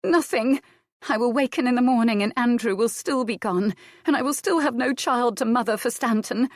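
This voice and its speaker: A woman's voice: medium pitch, normal speed, dry recording, studio quality, pitched above the performer's own voice, lightly acted. A woman voicing a sobbing young widowed noblewoman. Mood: grieving. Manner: sobbing, tearful pleading. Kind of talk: audiobook character voice.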